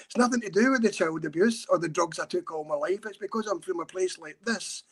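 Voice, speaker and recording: A man's voice: high voice, normal speed, breathy edge. A middle-aged Scottish man telling a story in an earnest, urgent way. He talks a lot, in a casual podcast chat. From Scotland. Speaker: campaigner and guest.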